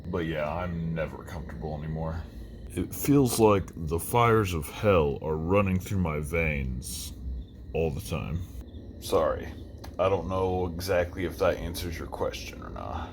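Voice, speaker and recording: An undead reaper and metal musician, played by a man, speaks in a low voice. He is brooding yet polite, answering as a talk show guest in an earnest, measured way. A light ambient noise sits underneath. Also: lively intonation. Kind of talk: interview answers.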